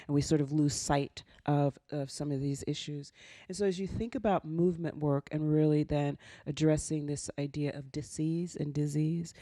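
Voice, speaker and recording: A woman's voice: low voice, mellow, no echo, studio quality. A woman moderating a conference panel, asking long, thoughtful framing questions.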